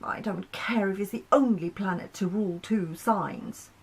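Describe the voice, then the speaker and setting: A woman's voice: medium pitch, normal speed, gravelly, husky. A woman voicing a petulant, whiny female moon in a storybook, indignant and full of complaint. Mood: sulky, exasperated.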